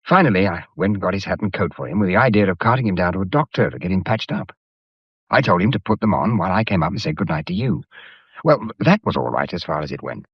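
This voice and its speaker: An actor playing a wry, gallant gentleman in a radio drama, husky and understated, with an offhand, clipped way of talking. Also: low voice, very animated, fast talker, dry recording, muffled recording, exaggerated voice. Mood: wry, rueful.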